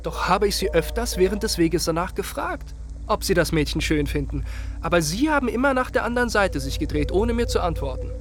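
Agitated lovesick man, higher-pitched. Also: animated, brisk, soft background music. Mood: troubled doubt. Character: agitated, doubting lovesick man, stout acquaintance. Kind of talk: German literary radio drama acting.

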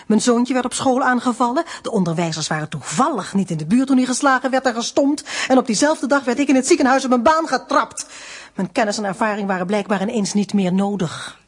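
A woman's voice: a little high, a little fast, slightly rough. An actress playing a beleaguered, wry dissident mother under interrogation in a Dutch radio play, restrained and dryly defiant. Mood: weary, bitter.

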